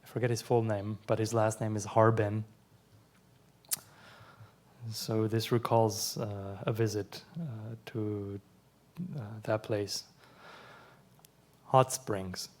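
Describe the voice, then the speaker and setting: Slow, measured male poet reading aloud. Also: fairly low pitch, flat delivery. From Iran. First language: Persian. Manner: slow, measured reading with quiet asides between poems. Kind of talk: live poetry reading.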